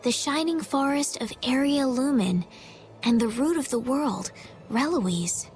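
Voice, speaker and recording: A high-pitched, gentle young princess from a video game. She speaks politely and earnestly, with soft music behind her. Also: expressive, a little slow. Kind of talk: English video game voice acting.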